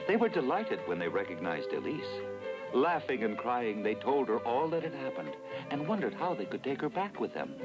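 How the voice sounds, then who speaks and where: Casting: male storybook narrator, mid-pitched, lively and dramatic delivery for an animated fairy tale. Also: moderate pace, poor audio. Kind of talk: scripted storybook narration for a dubbed cartoon. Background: loud music.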